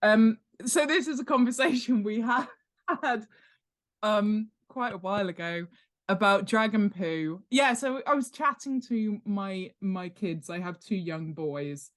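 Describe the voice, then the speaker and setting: A woman's voice: mid-range voice, measured pace. A woman chatting casually as a guest expert in an interview, in a chatty, jokey and emphatic way. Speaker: guest author and world-building expert. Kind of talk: casual podcast interview chat.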